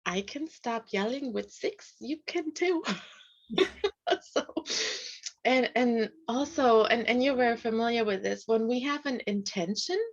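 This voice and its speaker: A middle-aged woman, a life coach, speaking softly in a casual video call interview, with light laughs. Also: on the high side, measured pace. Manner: soft-spoken, often laughs lightly. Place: home room. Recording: slightly dull video call audio.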